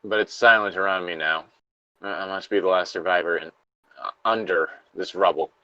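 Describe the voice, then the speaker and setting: Dying, trapped workman played by a man, low voice, weary and halting, dry dramatic diary reading. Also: dry recording, highs cut off, close to an everyday voice. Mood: weary, resigned. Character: dying, despairing male factory worker trapped under rubble.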